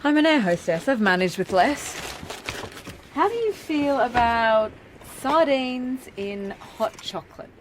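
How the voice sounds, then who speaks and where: Feisty young woman, very animated intonation, blunt, teasing, earthy, wounded, radio drama, faint background noise. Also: fairly high pitch, a little slow. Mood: teasing, frank. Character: Alison, frank, feisty, wounded young air hostess in love. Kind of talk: naturalistic radio drama acting.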